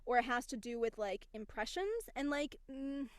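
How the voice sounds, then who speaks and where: A woman voice actor and casting director, a podcast guest, explaining her craft in casual, animated talk. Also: fairly high pitch, normal speed, no room sound, studio quality. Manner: animated, explains with quoted examples.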